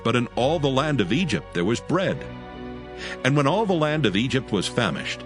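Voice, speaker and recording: A man narrating scripture as a dramatized audio story in a formal storytelling style. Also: mid-range voice. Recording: music behind the voice.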